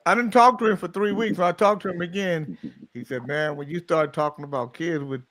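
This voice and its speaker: Bright-voiced man telling a joking story. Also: on the high side, fairly even intonation, fairly quick, no room sound, poor audio. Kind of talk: casual group chat.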